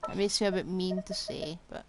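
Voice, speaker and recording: A woman's voice: fairly low pitch, little pitch movement, a little fast, noisy background. A woman giving casual, playful, rambling live commentary as she streams a game. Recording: game music behind voice. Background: game music.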